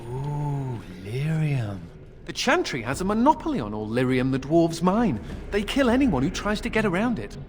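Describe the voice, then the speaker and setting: A man's voice: a little high, very animated, moderate pace, faint music behind. A man playing a witty, flippant mage adventurer in a video game, with a playful, sardonic manner.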